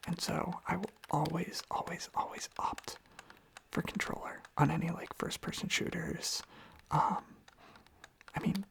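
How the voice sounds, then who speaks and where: A breathy whisper with a heavy creak at its edges, at a middling pitch. The delivery is sleepy, gentle and casual, an ASMR ramble that circles back over the same phrases. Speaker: ASMR video creator. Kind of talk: ASMR, softly rambling casual chat while tapping on objects. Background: tapping and clicking on objects.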